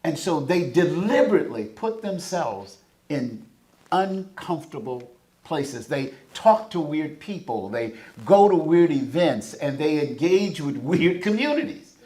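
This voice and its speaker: A man's voice: fairly high pitch, very animated, a little slow, mellow. A man from the American South, speaking as a panelist with emphatic, preacher-like build-ups. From Southern United States. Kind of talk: panel discussion. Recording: echoey conference room.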